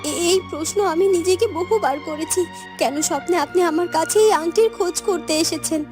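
A woman's voice: extremely high pitch, normal speed. A woman voicing a timid, earnest young village maiden in love, speaking hesitantly and tenderly in a cartoon fairytale. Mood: earnest, confessing. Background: music.

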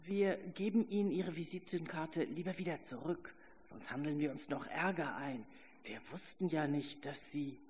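Ein Mann liest eine freche, zierliche Studentin. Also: male voice, on the high side, moderate pace, a little hiss or hum, lightly acted. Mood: nervous. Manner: bossy, self-consciously witty. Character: skinny, lively young female student, cheeky. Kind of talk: literary reading. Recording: reverberant hall.

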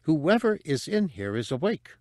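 A man voicing a small, greedy female alien thief who is bossy, sharp-tongued and demanding. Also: a little high, sing-song, normal speed, dry recording, studio quality, pitched above the performer's own voice. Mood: suspicious. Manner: snappish, demanding.

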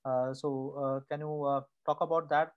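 A man's voice: a little high, flat delivery, normal speed, clean tone, lo-fi sound. A man in his thirties hosting an interview over a video call with an earphone mic, hesitant and full of "uh" fillers. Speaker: host. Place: home room indoors.

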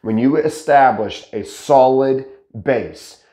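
A man in his thirties talks straight to camera on a lapel mic, explaining step by step and firing rhetorical questions at the viewer. His voice is a little raspy from shouting, sits at a middle pitch, and carries a roomy echo from the room. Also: slow. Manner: animated, gestures a lot, asks rhetorical questions. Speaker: host teaching pallet building. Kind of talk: casual instructional talk to camera. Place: dark studio with green screen, indoors.

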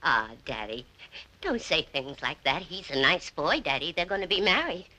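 A woman's voice: deep voice, big pitch swings, moderate pace, sharp tone, full character voice. An actress playing a meek, anxious middle-aged housewife who pleads desperately with her drunken husband in a film scene. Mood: pleading. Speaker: wife.

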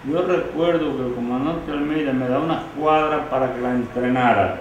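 A man's voice: mid-range voice, moderate pace, dull audio, a little hiss or hum. An older man born in Galicia, a former rebel soldier, recalling the war in an interview, often ending with a questioning "no?". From Galicia, Spain. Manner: reflective, with many "¿no?" tags. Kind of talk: recollecting in an interview. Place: living room, indoors. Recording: very echoey room, mic far off.

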